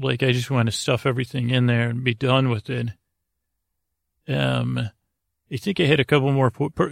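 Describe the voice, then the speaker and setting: A man with a very creaky, gravelly voice talks through a meandering bedtime podcast monologue. He stammers, doubles back and leaves thoughts half finished. The sound is dry and close, with no room echo. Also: mid-range voice. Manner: meandering, halting, stammering with repeated false starts. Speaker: podcast host.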